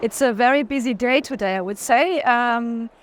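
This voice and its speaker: Female collector as interview guest, higher voice, unscripted and hesitant. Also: moderate pace, slight background noise. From Germany. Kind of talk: unscripted conversation.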